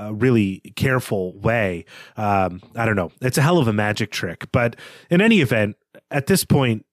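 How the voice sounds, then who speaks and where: A man's voice: fairly low pitch, no echo. A man co-hosting a literary podcast, talking through a close reading in an articulate, reflective, bookish way. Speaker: co-host of literary discussion podcast. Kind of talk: conversational literary analysis.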